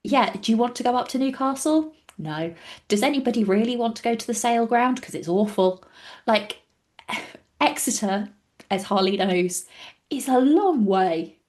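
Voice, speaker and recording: Animated English woman. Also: fairly high pitch, moderate pace. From England. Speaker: podcast co-host. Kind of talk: casual rugby chat.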